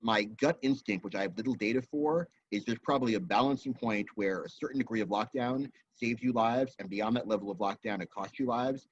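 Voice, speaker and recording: A man speaking as a guest expert in a roundtable discussion, measured and data-minded, with frequent ums. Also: on the low side, breathy edge, no echo. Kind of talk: explanatory discussion. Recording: dull lo-fi audio.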